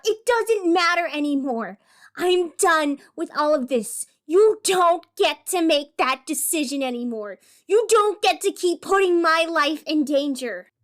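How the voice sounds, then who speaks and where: Woman acting high-voiced, emotional female radio host. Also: fairly bright tone, heavily acted. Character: female radio host, wounded, fiery, turning soothing on air. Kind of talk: audio drama acting.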